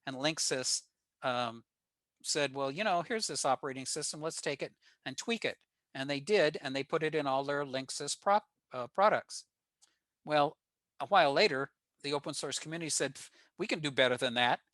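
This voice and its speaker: A man's voice: fairly high pitch, moderate pace, fairly bright tone, no echo. An older man with an American accent gives an informal technical presentation over a video call, explaining things plainly. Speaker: guest presenter at a radio club.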